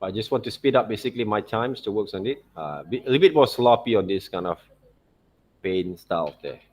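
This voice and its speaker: A man giving an unscripted step-by-step tutorial as an art teacher, halting and repetitive, often checking in with short tag words. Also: on the low side, expressive. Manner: halting, repetitive phrasing with frequent "okay, right, see" checks. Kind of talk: unscripted step-by-step drawing demonstration.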